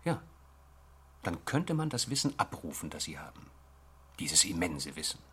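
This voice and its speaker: A man's voice: low-pitched, sing-song, moderate pace, a bit bright, husky, heavily acted. An actor playing a reflective, sober male investigator in a science fiction radio drama. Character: reflective, cautious male investigator discussing a mystery.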